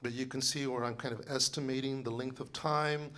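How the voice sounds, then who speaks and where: A man in his sixties, a composer and librettist, giving a loud, rambling informal lecture full of fillers and restarts. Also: medium pitch, steady tone, brisk. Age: 60s.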